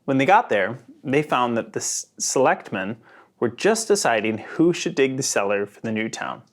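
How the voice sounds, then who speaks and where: Expressive man reading a storybook, very animated pitch. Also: normal speed. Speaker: storybook reader. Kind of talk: read-aloud of a children's picture book.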